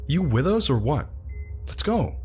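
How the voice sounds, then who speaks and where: A man voicing a hurried emergency doctor giving quick orders. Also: on the high side, very animated, a little mellow, husky, soft background music, pitched above the performer's own voice, exaggerated voice. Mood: impatient. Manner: brisk, impatient.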